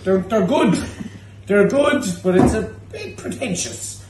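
A man's voice: sits high, lively intonation, measured pace, distant mic, music in the background. A man in his thirties with a Cork Irish accent, hosting a pub tasting show in cheeky, teasing banter. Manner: cheeky, teasing, swears freely. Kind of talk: casual banter while tasting drinks. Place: indoor pub bar. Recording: echoey pub room with faint noise.

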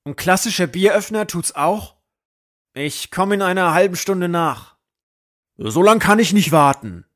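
A man acts out an everyday guy in a radio play, chatting in a colloquial, conversational way. His voice is high for a man. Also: lively intonation, measured pace, fairly bright tone. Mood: casual, pushy. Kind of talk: audio drama dialogue.

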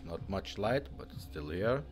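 A man with a low voice presents a product in a casual, hands-on way. His phrasing is informal and non-native, like someone showing gear at a table. Faint music can sit behind him. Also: lively intonation, a little slow. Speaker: presenter demonstrating restored car radios.

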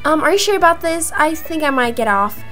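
A young woman acting out improvised roleplay dialogue for a video game, in an animated manner with drawn-out words. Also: high-pitched. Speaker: gaming video creator voicing roleplay characters. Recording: music behind the voice. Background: music.

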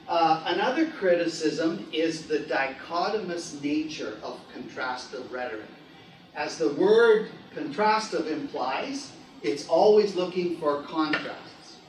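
Male academic presenter, middle-aged, slightly higher voice, taped from the back of the room. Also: slight background noise. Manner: measured, many "uh" pauses. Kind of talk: conference research talk. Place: lecture room with projector screen. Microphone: far camera. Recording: echoey room from far off.

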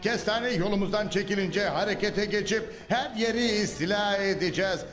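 A man's voice: high voice, moderate pace, natural voice. A man voicing a scheming bald villain who commands an army of dirt creatures and addresses them with grandiose, scornful speeches. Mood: commanding. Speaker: cartoon dub voice actor. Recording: echoey reverberant mix with music.

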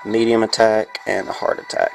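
Gravelly congested man reviewing a game. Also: on the low side, level tone, moderate pace, faint music behind. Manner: rambling, frequent fillers. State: congested from a sinus infection. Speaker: gameplay reviewer. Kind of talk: casual gameplay commentary.

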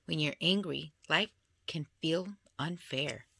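A woman reading a children's picture book aloud in an expressive storytelling style. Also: sits low, slow, creaky, slight background noise. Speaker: storybook reader.

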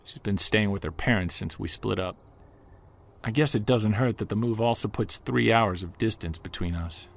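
An actor playing an earnest male Bigfoot investigator who narrates in the first person, measured and defiant toward skeptics. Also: lowish voice, gravelly. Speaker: narrator and lead character. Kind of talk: audio drama narration.

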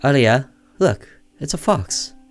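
A man's voice: medium pitch, animated, slow, voice pushed up. A man voicing an eager young boy who insists and bickers with his little sister in a visual novel. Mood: eager, insistent. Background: music under the voice.

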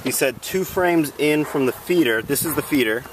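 A man's voice: fairly high pitch, normal speed, noisy background. A man outdoors, speaking casually and a little unsure as he explains what he is doing step by step. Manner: hesitant, unsure, self-deprecating. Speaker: first-time beekeeper. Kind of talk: casual how-to narration while working. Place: outdoors in a leafy yard. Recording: outdoor camera audio with buzzing bees, from a distance. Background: buzzing bees.

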